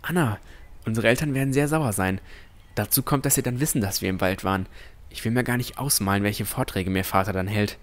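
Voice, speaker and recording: A man's voice: lowish voice, a little fast, lightly acted. A man playing a cautious, earnest boy who narrates his own fantasy adventure in an audio drama. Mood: worried, frustrated. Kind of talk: first-person scripted narration and dialogue.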